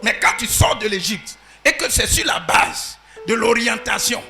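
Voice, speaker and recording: A man preaching a sermon as pastor, swinging from shouted peaks to quiet asides. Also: sits high, unhurried, soft background music.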